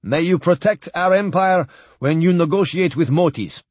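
A man's voice: high-pitched, normal speed, a little mellow, no echo, pitched above the performer's own voice. A man voicing a proud, formal old admiral who speaks in clipped phrases without articles. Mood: ceremonious. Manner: clipped speech dropping articles.

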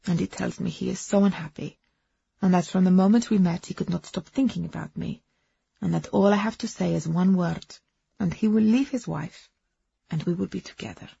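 A woman acting a fervent, husky wife. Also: on the low side, moderate pace, mellow, no room sound, muffled recording, full character voice. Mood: wistful, fervent. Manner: dramatic, breathy, fervent. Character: passionate Eastern European immigrant wife confessing her love affair.

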